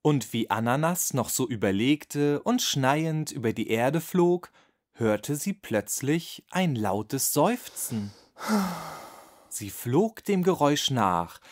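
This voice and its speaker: A narrator telling a children's bedtime story in an expressive, scripted storytelling manner. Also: medium pitch, takes it slowly. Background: music.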